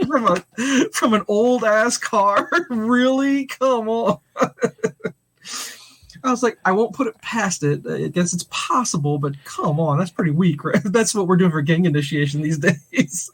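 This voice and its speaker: A man's voice: high voice, a little slow, breathy edge. A man in his forties from St. Louis, co-hosting a podcast, telling rambling stories with plenty of laughter. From St. Louis, USA. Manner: rambling storyteller, laughs often, acts out quoted talk. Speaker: podcast co-host. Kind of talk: casual chat.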